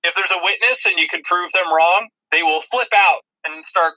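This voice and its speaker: A man's voice: sits high, normal speed, pushed and bright, lo-fi sound. A man co-hosting a podcast, casually explaining things in a rambling, dryly humorous way over a thin, radio-like connection. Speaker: podcast co-host. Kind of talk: casual chat about video-game movies.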